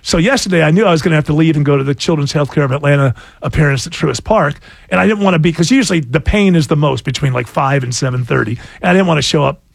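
A man's voice: quick pace, creaky, breathy edge, no room sound. A man hosting a radio show, chatting casually in a rambling, self-mocking way. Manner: rambling, self-mocking, animated pitch jumps. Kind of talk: casual on-air banter.

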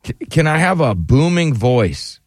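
Male morning-radio host, self-mocking banter, animated delivery, dry studio. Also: mid-range voice, unhurried, no echo. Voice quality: thin tinny mic sound. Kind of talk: casual on-air banter.

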